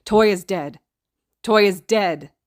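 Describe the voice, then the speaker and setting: A woman voicing a quiet young man who speaks softly and later screams in grief in a fan audiobook. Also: mid-range voice, extremely slow, no room sound, clean studio sound, voice pushed up. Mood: anguished. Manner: soft, then screaming. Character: soft-spoken scarred young man, grieving.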